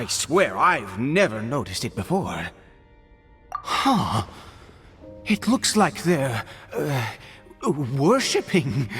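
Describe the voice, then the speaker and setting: A man's voice: medium pitch, a bit bright. A man voicing a weary, anxious, self-doubting ageing father in a fantasy video game, speaking hesitantly with stammers. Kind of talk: dramatic video game voice acting. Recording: background music under the voice.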